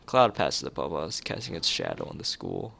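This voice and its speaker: A man reading a story game aloud in an expressive narrating style, occasionally stumbling over words. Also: extremely low pitch, moderate pace, gravelly. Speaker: let's player reading a visual novel aloud.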